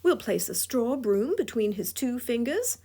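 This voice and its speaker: A woman's voice: fairly high pitch, sing-song, measured pace, mellow. A woman with a scratchy voice giving a drawing tutorial voice-over, playful and chuckling often. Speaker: drawing instructor.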